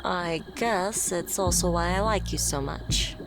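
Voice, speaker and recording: A woman playing a shy, socially awkward young friend in a romantic ASMR roleplay, speaking softly and hesitantly with stammers, tender and easily flustered. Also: lowish voice, a little slow, heavy background noise. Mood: nervous, tender confession. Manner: soft, hesitant, stammering, sighing. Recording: prominent music behind the voice. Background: music.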